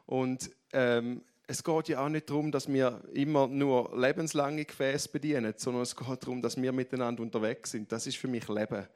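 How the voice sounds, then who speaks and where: A man with a broad Graubünden Swiss German accent addresses a church audience from the stage. His voice sits at a middle pitch, and he talks in a candid, self-mocking way, often restarting his sentences. He also sounds openly moved. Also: fairly even intonation, moderate pace, hardly any pauses, darkish tone. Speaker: church leader speaking on stage.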